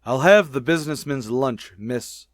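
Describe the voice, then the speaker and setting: A man's voice: mid-range voice, measured pace, no room sound, clean studio sound, lightly acted. Man playing a curt, grumpy, pompous boss. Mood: curt, grudging. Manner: curt, dismissive, gruff. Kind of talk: vintage radio sitcom acting.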